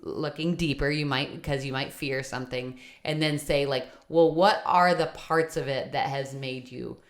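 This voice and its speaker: A woman in her late thirties with a low-pitched voice talks casually on a podcast. She rambles easily, leaning on fillers. She keeps agreeing with her co-host. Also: steady tone. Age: 30s. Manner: chatty, lots of fillers and quick agreement. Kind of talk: casual chat.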